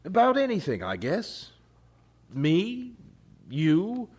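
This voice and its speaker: An actor playing an earnest, troubled young man in an audio drama, polite and halting in his speech. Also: high voice, wildly swooping pitch, darkish tone, husky, exaggerated voice.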